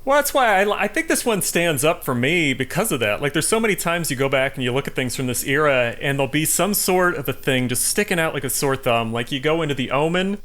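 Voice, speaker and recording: A man's voice: a little high, lively intonation, fairly quick, hardly any pauses, fairly bright tone. A man co-hosting a podcast, chatting casually about films in a wry, skeptical way. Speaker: podcast co-host. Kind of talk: casual film discussion.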